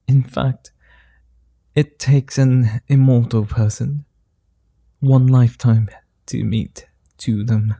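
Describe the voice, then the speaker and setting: A flirtatious, kind-hearted vampire, voiced by a man, murmurs in an audio roleplay. His tone is very dark and gravelly with a husky edge, and he takes his time over every word. The sound is dry and close. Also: medium pitch, dry recording, exaggerated voice. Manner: soft, slow, flirty and teasing. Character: gentle flirtatious male immortal vampire. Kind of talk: ASMR roleplay.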